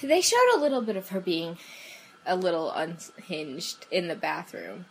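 A woman's voice: lowish voice, sing-song, measured pace, poor audio. Laid-back young woman with faint hiss. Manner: laid-back, self-deprecating, laughs often. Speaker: podcast co-host. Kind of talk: casual chat. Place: bedroom at home.

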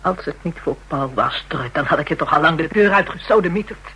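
An actress playing a hardened, taunting woman in a radio drama, with a husky voice, a Dutch accent and brash, slangy speech. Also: low-pitched, animated, a little fast, a bit bright, heavily acted, rough recording. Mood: hostile, taunting. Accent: Dutch (Netherlands). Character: hardened, jealous, taunting woman dealing in kinky services.

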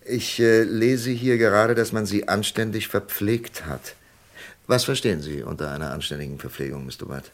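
An actor playing a calm, shrewd FBI investigator in a vintage radio crime drama, asking patient, probing questions. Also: male voice, fairly low pitch, normal speed, close to an everyday voice. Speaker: detective in a radio crime play.

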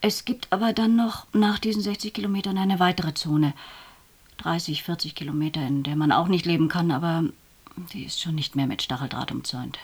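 A low, husky woman gives an eyewitness account in a radio drama. She speaks reflectively, breaking her memories into halting phrases. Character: witness recalling a journey into a forbidden zone.

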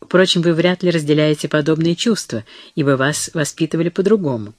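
A woman's voice: deep voice, a little fast, dry recording. A reader playing a stern, aristocratic old mother-in-law with a dry, cold manner in a storybook reading. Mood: contemptuous.

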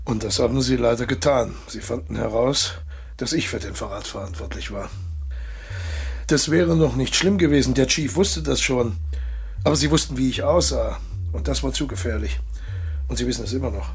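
An actor in a radio drama playing a suave, cold-blooded traitor colonel, courteous and condescending, with a husky, gravelly voice. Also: male voice, mid-range voice, normal speed, soft background music, lightly acted. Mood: smug, explaining.

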